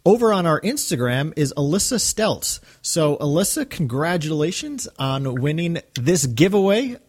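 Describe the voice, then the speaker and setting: A man's voice: a little high, very animated, moderate pace. A man co-hosting a fan podcast, talking casually and chattily with rambling asides. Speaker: podcast co-host.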